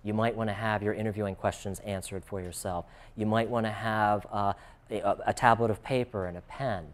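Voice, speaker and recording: An American man with a fairly low voice gives informal instruction as a career coach. He explains things conversationally, as if talking one to one, and often drops "you know" into his sentences. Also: steady tone, a little fast. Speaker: job interview coach.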